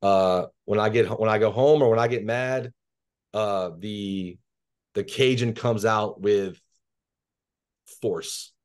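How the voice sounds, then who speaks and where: Low-voiced man from Louisiana. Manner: low, measured, even reading voice. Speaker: guest author. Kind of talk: reading his horror fiction aloud, then casual answers. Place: bedroom at home. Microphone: video call laptop camera.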